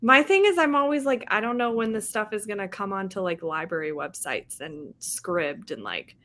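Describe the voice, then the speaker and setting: Bright-voiced woman chatting casually. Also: mid-range voice, lively intonation, moderate pace. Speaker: host of a book livestream. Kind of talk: casual group chat.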